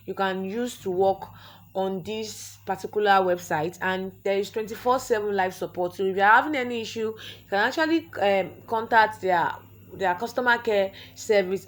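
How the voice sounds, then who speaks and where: A young woman with a Nigerian accent guides viewers through a website sign-up. She is a native Yoruba speaker, and her delivery is chatty and instructive, full of repeated words and restarts as she clicks along. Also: medium pitch. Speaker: vlogger. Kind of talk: screen-recorded tutorial walkthrough.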